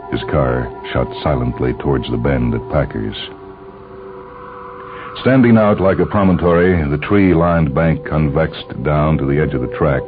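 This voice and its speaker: A man reading a scripted story as a dramatic audiobook narrator. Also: very deep voice. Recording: dull, band-limited lo-fi audio. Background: clear background music.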